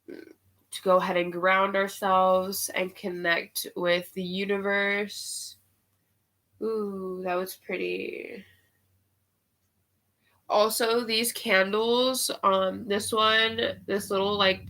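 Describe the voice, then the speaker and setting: A woman with a cold and a nasal voice, unhurried and full of "um" fillers, giving spiritual guidance and leading a meditation on a live stream. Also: medium pitch, pushed and bright. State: sick with a cold.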